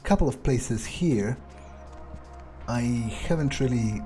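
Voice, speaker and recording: A man's voice: mid-range voice, expressive, slow pace, warm tone, faint music behind. A man playing a callous, cynical vampire in a game playthrough, thinking aloud in a sardonic, sometimes profane mutter. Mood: musing. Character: cynical, callous male vampire, casually menacing. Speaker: let's player voicing his own character.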